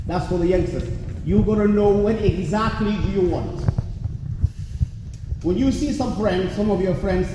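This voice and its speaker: A high-pitched man from Africa, a native Somali speaker with a Welsh-tinged English accent, gives an earnest motivational speech straight to the crowd. He is picked up from far off in a big, echoey hall with a long reverb tail. Steady background noise sits under his voice. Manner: earnest, repeats "trust me", addresses the crowd directly. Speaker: guest speaker at a community event. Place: large hall at a community event.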